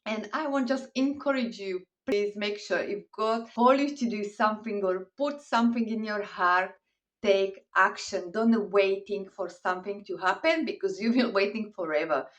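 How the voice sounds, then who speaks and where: A woman's voice: mid-range voice, moderate pace. Earnest Slovak-accented woman, slightly distant. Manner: earnest, encouraging, simple non-native grammar. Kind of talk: encouraging faith talk to camera.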